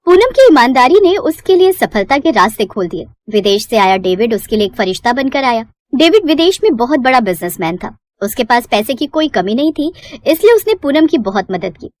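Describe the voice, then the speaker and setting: A woman's voice: on the high side, big pitch swings, brisk, no room sound. A woman reading scripted narration as the storyteller of a moral tale.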